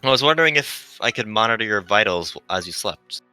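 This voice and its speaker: Visual novel spirit companion, female, curious and gentle read by a man, very crisp forward tone, dry over music. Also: fairly low pitch, animated, brisk, no room sound, low-quality recording. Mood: gentle, reassuring. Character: curious, eager, non-corporeal female companion being. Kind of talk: visual novel character reading. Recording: music behind the voice.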